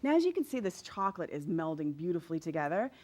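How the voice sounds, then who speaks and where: A woman's voice: fairly low pitch, sing-song, fast, darkish tone. Upbeat woman chef explaining each step. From Louisiana. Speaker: guest chef. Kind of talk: cooking demonstration. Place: TV kitchen set.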